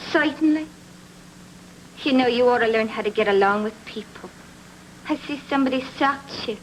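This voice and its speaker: A woman's voice: fairly high pitch, moderate pace, close to an everyday voice. A woman playing a curt, sassy waitress who takes no nonsense, in a film noir radio drama. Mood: snippy, unimpressed. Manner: curt, snippy. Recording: noisy vintage radio recording.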